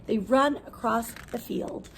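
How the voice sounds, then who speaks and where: A woman teacher reading a picture book aloud expressively, pausing to explain things in simple words for young children. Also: on the high side, vocal fry, a bit bright, slight background noise, rough recording.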